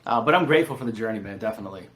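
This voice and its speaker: A man's voice: on the low side, lively intonation, rapid-fire, fairly bright tone. A man from San Diego, telling his story informally and personably as a podcast guest. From San Diego, California. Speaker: podcast guest, real estate entrepreneur. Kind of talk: casual interview storytelling.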